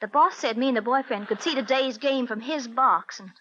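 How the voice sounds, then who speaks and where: An actress playing a brash, slangy young American secretary in a 1940s radio drama, blunt and wisecracking. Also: female voice, a little high, a little fast, fairly bright tone, natural voice. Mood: confiding. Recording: dull, faintly noisy old radio recording.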